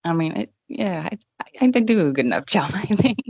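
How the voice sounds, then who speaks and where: Low-voiced woman, easygoing guest. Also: fairly quick, vocal fry, slightly rough, no room sound. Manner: relaxed, self-deprecating, with fillers. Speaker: guest, online radio DJ. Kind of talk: casual interview chat. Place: home room without acoustic treatment. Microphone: condenser desk mic with pop screen. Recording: dull, dry home recording.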